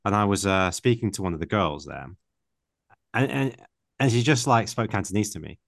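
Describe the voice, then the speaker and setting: A man's voice: on the low side, animated, normal speed, creaky, dry recording, studio quality. A man hosting a podcast who chats casually and laughs through his own stories. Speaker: podcast host. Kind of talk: casual interview chat.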